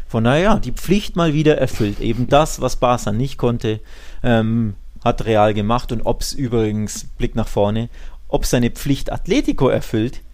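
A man's voice: mid-range voice, normal speed, darkish tone. A man hosting a football podcast in casual discussion, talking emphatically and stacking phrases for effect.

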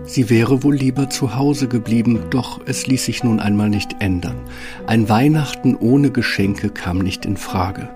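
A man's voice: mid-range voice, unhurried, music in the background. A man narrating a fairy tale from a script as the storyteller of a radio play.

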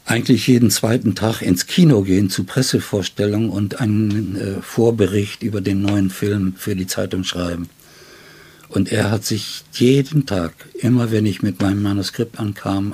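An older man with a fairly low, husky voice reminisces as a writer being interviewed. He tells his anecdotes deliberately, unfolding them in long and detailed sentences. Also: a little mellow.

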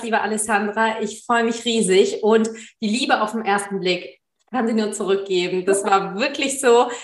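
A woman's voice: on the high side, a bit bright. A woman from Germany, a trainer, telling her personal story as an interview guest.

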